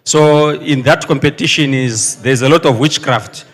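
A man from Zambia gives a halting, earnest testimony into a handheld mic at a church service. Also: mid-range voice, a bit bright, big-room sound. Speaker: congregant giving testimony.

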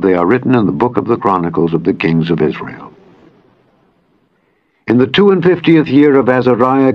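A man reading scripture as a dramatized audiobook narration, solemn and measured, with deliberate phrasing. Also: on the low side. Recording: dull band-limited audio with music behind. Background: background music.

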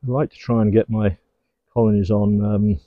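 A man's voice: lowish voice, steady tone, moderate pace, no echo, phone-quality sound. A middle-aged man working at his beehives outdoors in a garden apiary, giving easygoing running commentary and talking fondly to the bees. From England. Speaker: beekeeper. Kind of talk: hands-on commentary while working.

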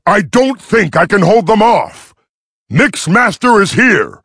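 An actor playing a gruff robot medic soldier in a video game, with a raspy voice and a dry, urgent delivery. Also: male voice, high-pitched, big pitch swings, takes it slowly, a bit bright, dry recording, heavily acted. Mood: grave, businesslike. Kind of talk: scripted video game battle dialogue.

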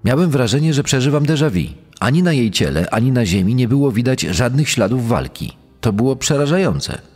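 A man playing a shaken police investigator giving testimony in a true-crime audio dramatisation, with a husky voice. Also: medium pitch, big pitch swings, fairly quick, a little mellow. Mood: shaken, grave. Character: shaken male police investigator recalling a murder case. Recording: long reverb, music bed behind.

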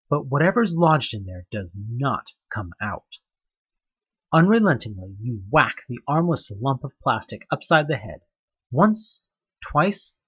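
A man's voice: medium pitch, slightly rough, lo-fi sound. A man narrating a horror webcomic audioplay in the second person, telling it with tense, dramatic questions. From United States.

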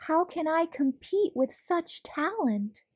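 A woman's voice: very high voice, big pitch swings, slow pace, darkish tone, pitched above the performer's own voice, exaggerated voice. A woman voicing a shy, earnest young schoolboy in a children's storybook. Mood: unsure. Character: shy, timid young schoolboy who loves tap dancing.

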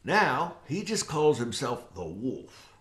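A folksy backwoods man in an audio drama. He rambles slowly and plainly, with wary pauses and a very animated, swooping pitch. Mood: musing.

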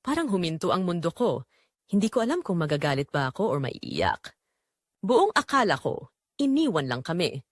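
Female Filipino narrator for first-person audio drama, confessional, lively intonation, mid-pitched, very dry recording. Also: normal speed, no room sound. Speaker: narrator telling the story as its main character.

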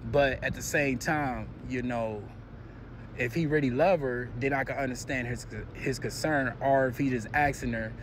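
A man's voice: medium pitch, normal speed, slight background noise. An American man giving casual, opinionated gossip commentary in a conversational way.

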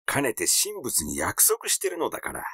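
A blunt male amateur sleuth, played by a male reader in an audiobook story. He needles and insinuates with casual mockery. The delivery swings widely in pitch, recorded close and dry. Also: huge pitch swings, moderate pace, bright, forceful tone, husky, dry recording, full character voice. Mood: coaxing, mocking. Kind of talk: audiobook character dialogue.

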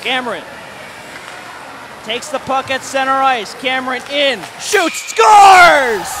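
A man calling play-by-play for a hockey shootout, rising to shouted goal calls while his voice is going. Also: very high voice, lively intonation, slow. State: losing his voice. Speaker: play-by-play commentator. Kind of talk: excited sports commentary. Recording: crowd and arena noise behind.

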